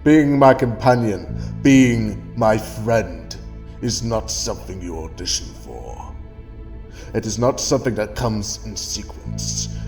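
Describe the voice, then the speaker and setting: An actor playing a stern, scornful alien time-traveller who lectures in a measured way in an audio drama. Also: male voice, on the low side. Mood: scornful anger, then solemn. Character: cold, stern alien time-traveller, adult man, wearily righteous.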